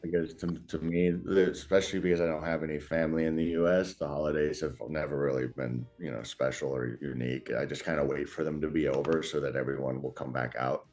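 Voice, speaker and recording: A man in his thirties with an extremely deep voice and an American accent. He is a native Afrikaans speaker from South Africa, talking casually as an interview guest in an informal, slightly hesitant way. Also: fairly even intonation, brisk, a little mellow. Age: 30s.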